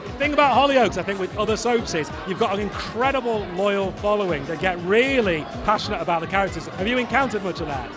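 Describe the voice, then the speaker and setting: A cheeky man with a high, bright voice hosts an outdoor event and chats with guests in a playful presenter style. Music pumps and a crowd chatters loudly behind him. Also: lively intonation, quick pace. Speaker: event host interviewer. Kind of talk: upbeat event interviewing with presenter links. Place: outdoor event at a car showroom.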